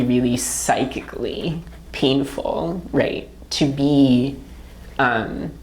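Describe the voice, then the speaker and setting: A woman from the Philippines, an author speaking as a guest, answering questions in a thoughtful, searching way and often checking in with a questioning tag. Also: low voice. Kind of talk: reflective talk answering audience questions.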